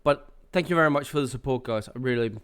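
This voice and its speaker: Male channel host, nasal with a cold, clear tone, loose rambling delivery full of fillers. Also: medium pitch, fast talker, fairly bright tone. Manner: rambling, with many fillers and restarts. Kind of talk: casual channel update.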